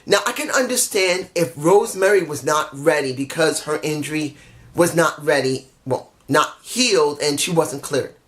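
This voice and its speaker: A man giving a candid, rambling review of a wrestling show, frustrated at times, with a voice that is going hoarse. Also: on the high side, moderate pace, a bit bright. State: losing his voice. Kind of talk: casual opinionated review.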